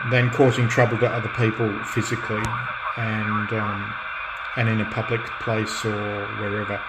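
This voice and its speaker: Radio static and noise sit clearly behind a middle-aged man with a mid-pitched voice. He chats in a relaxed, unscripted way as a hobbyist, feeling his way through sentences and often starting them again. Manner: halting, reflective, often restarting phrases. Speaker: radio hobbyist and restorer presenting own channel. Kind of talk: relaxed off-the-cuff chat.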